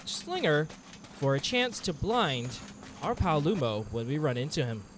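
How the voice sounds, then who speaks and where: Guy narrating a monster hunting game like a nature doc, kinda high voice, super animated pitch, dramatic. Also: a little hiss or hum. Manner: dramatic, measured storytelling with formal wording. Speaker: game streamer narrating own hunt. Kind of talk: scripted-sounding nature documentary style game commentary. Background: game music under the voice.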